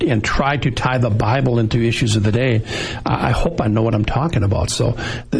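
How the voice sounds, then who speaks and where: Gravelly-voiced preachy male radio host. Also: mid-range voice. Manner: earnest, preachy, exhorting listeners.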